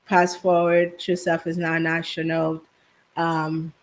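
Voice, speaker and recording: Woman guest, from haiti, soft storytelling, lowish voice, video call. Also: completely flat delivery, a little slow. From Haiti, raised in New Jersey. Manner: soft-spoken storytelling with laughs, quiet. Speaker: guest, business owner. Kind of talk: casual interview chat.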